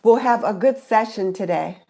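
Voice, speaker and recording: Middle-aged woman host, stuffy-nosed from a cold, loose chatty live-stream delivery, mid-range pitch. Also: animated, moderate pace, warm tone. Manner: chatty, greets viewers by name. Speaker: YouTube channel host. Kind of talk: casual live stream chat.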